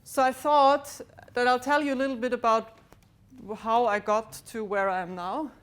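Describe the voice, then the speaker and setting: A woman giving a maths lecture to students in a large lecture theatre, pausing often with ums and restarts. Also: a little high, fairly even intonation. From Austria. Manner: hesitant, many ums and restarts, walks about with a pointer. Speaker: mathematics lecturer.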